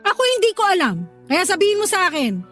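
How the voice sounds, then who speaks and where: A woman's voice: very high-pitched, big pitch swings, quick pace, fairly bright tone, soft background music, exaggerated voice. A woman playing a hot-tempered, nagging older sister stressed from work in a radio drama. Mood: accusing, demanding. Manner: confrontational, nagging, interrogating. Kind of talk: Tagalog radio drama acting.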